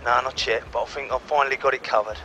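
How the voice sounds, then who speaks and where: An actor playing a bitter, hard-boiled London ex-gangster in a video game, terse and gritty, with a London accent. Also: male voice, mid-range voice, fairly even intonation, fast talker, noisy background, lightly acted. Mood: urgent, keyed up. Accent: London English. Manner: terse, gritty, foul-mouthed. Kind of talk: video game cutscene acting.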